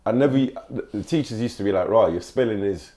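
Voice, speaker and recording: A young man from Nigeria hosts a relaxed podcast conversation in a fairly low voice. His tone is dark and mellow. He stumbles and repeats words when he starts a sentence. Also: brisk. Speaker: podcast host. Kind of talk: casual chat.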